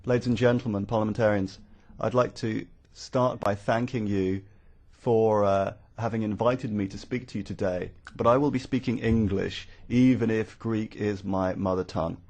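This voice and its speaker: A man working as a conference interpreter, rendering formal speeches live in a halting, phrase-by-phrase way. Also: fairly low pitch, fairly even intonation, darkish tone.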